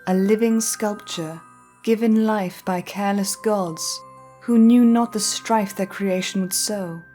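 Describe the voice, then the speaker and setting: A woman reading a scripted, dramatic story as narrator, with measured and deliberate phrasing. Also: medium pitch, fairly even intonation. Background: soft music.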